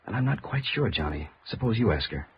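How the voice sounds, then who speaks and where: A man's voice: on the low side, sing-song, fairly quick. A man playing an earnest, hard-working middle-aged American claims adjuster in a 1950s radio drama. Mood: concerned briefing. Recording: dull, noisy old radio recording.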